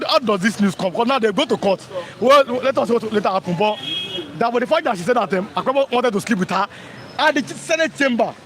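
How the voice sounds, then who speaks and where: High-voiced Nigerian male talk show host. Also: a bit bright. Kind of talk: live news review, reading viewer comments.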